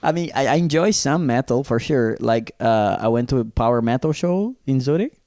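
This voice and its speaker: Brazilian guy on a comedy podcast, mellow dark voice, swears a lot, acts out quotes, dry close mic. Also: mid-range voice, lively intonation, normal speed, no echo. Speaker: podcast co-host. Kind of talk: casual comedic chat.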